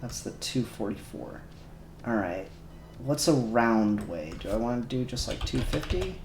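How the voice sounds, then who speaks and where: A man's voice: mid-range voice, lively intonation, unhurried. A man live-streaming his 3D modelling work, talking casually and muttering to himself, a little self-conscious. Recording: echoey room, faint music behind.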